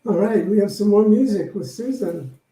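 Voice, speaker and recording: Echoey far-off guy hosting a service, high pitch but really muffled dark tone. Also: animated, moderate pace. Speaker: service host. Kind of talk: casual thanks and announcements. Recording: echoey, distant from the mic.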